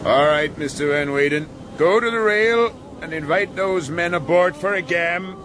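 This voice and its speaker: An actor playing a domineering, cunning sea captain, curt and slyly genial, in a radio drama. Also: male voice, on the high side, moderate pace, lightly acted. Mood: commanding. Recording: noisy outdoor ship ambience.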